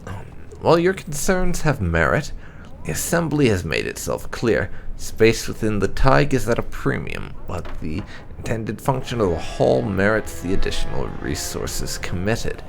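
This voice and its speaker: A man reading fantasy game documents aloud for a playthrough, in a measured, deliberate and formal storytelling manner. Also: on the low side, big pitch swings, creaky, soft background music. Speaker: gaming YouTuber reading in-game texts aloud.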